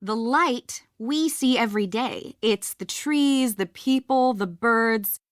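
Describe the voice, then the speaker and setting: A woman voicing a mysterious, theatrical witch tour guide in a video game, speaking deliberately with an ominous manner. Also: a little high, dry recording, studio quality. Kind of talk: dramatic ghost-story narration, video game voice acting.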